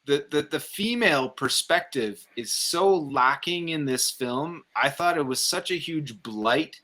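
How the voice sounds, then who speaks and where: A man co-hosting a casual film review, giving his opinions in a halting, conversational way. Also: on the high side, lively intonation, sharp tone.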